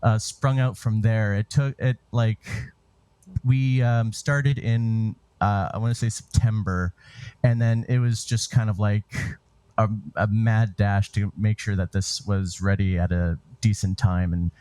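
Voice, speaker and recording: Low, mellow, halting male interviewee. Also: steady tone, clear, resonant tone, no echo. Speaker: interview guest, theatre director and writer. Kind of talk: casual conversational interview answers.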